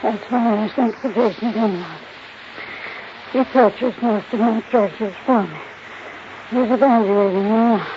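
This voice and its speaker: A woman's voice: on the high side, a little slow, pauses often, close to an everyday voice. Muffled, noisy radio drama: woman playing tired, resigned old lady, world-weary delivery. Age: elderly. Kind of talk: 1950s radio drama acting.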